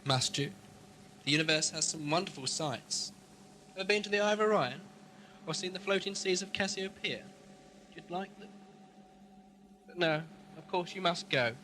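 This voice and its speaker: Eccentric British male time-traveller, played by an actor: flippant, breezy, bright-edged, lightly high, for audio sci-fi drama. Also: normal speed, pauses often, a little hiss or hum, low-quality recording. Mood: breezy, wistful. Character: whimsical, eccentric male scientist who travels space and time. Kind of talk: amateur audio drama acting. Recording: music under dialogue, home-made cassette drama.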